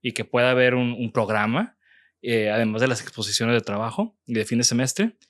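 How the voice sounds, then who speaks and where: A man's voice: medium pitch, a little fast. A man in his thirties with a Mexican accent, hosting a casual interview and often restarting his sentences. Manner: hesitant, restarts, casual slang. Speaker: podcast host and professor.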